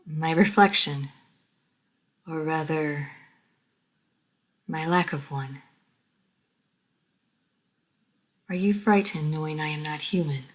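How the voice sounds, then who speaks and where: A woman voicing a refined, proud lady vampire who is easily flustered, speaking formally and with measured care in an audio roleplay. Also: low voice. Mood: solemn, calm. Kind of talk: scripted audio roleplay addressed to the listener.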